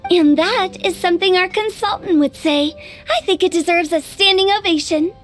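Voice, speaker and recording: A woman's voice: very high voice, normal speed, faint music behind. A woman voicing a playful, boastful young female funeral director in a video game, with a theatrical manner.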